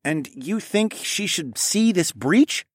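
A man voicing an emotional, protective father in an audiobook, talking a little higher than his narration. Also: lively intonation, slow pace, slightly rough, no room sound, studio quality, exaggerated voice. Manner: earnest, grumbling.

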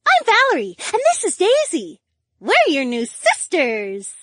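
Squeaky, shrill, excitable cat girl. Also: measured pace, no room sound, full character voice. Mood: giddy, friendly. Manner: squeaky, shrieking, breathless exclamations. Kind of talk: audiobook character acting.

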